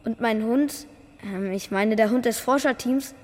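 A woman's voice: a little high, a little slow, close to an everyday voice. A woman playing an eager, earnest young boy in a radio play. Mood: pleading, earnest. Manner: eager, earnest, youthful slang. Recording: reverberant hall, music behind.

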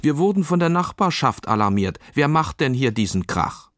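A man's voice: on the high side, very animated, normal speed, no room sound, studio quality, pitched above the performer's own voice. A man voicing a stern, officious policeman with threatening authority in a children's audio play. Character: stern official policemen complaining about noise.

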